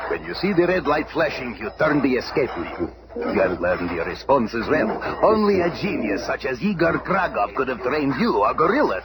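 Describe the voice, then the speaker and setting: A man's voice: mid-range voice, natural voice. A man playing a wildly swooping megalomaniac villain. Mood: gloating, commanding. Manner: grandiose, theatrical, gloating. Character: megalomaniac villain scientist commanding his trained apes. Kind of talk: 1970s superhero radio drama acting. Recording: very noisy old radio recording.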